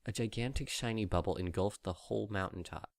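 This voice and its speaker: A man's voice: on the low side, fairly quick, gravelly, dry recording. A man reading a story aloud as an audiobook narrator, in scripted storytelling style.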